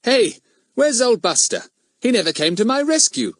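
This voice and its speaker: A well-spoken schoolboy played by a man talks earnestly and with some dignity. His pitch is high and lively, a plucky young leader from a children's storybook. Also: normal speed, no echo. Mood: half vexed. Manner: earnest, articulate.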